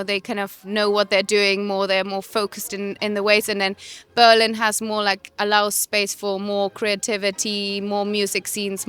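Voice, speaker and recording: Woman, interviewee, laid-back, smooth monotone, light music bed. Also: mid-range voice. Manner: relaxed, casual phrasing. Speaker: street interviewee. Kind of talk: casual street interview answer.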